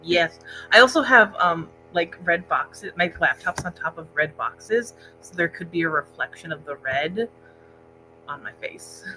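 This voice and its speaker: A woman with a bright, forward voice chats casually as co-host of a book livestream. She is recorded indoors, with a faint layer of noise behind her. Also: fairly low pitch, animated, measured pace, poor audio. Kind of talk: casual chat about books. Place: indoor library room, speaking into a laptop set-up.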